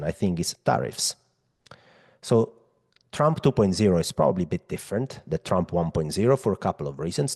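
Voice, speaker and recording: A man's voice: sits low, a little mellow, husky. A man with an Italian accent giving an explanatory finance presentation, conversational and emphatic, addressing listeners directly. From Europe. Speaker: macro analyst presenting.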